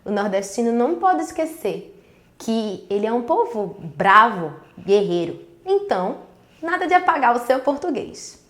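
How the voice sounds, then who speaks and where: A woman teacher with a Northeastern Brazilian accent explains earnestly and speaks directly to her pupils. Her voice sits a little high, and the recording has a roomy echo. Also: big pitch swings, a little slow, a bit bright. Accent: Northeastern Brazilian, Pernambuco. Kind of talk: recorded school video lesson. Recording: echoey room.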